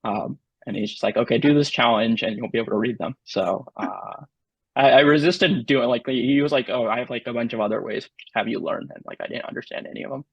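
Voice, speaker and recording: A man speaking as an interview guest in a casual, halting way, full of ums and likes. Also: mid-range voice, vocal fry, husky, no room sound, muffled recording. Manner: halting, filler-heavy, lots of "like" and "um". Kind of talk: casual conversation.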